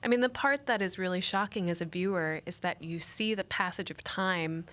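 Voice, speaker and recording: A woman's voice: fairly low pitch, moderate pace, a bit bright. A woman hosting a studio interview, asking curious, probing follow-up questions. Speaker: show host.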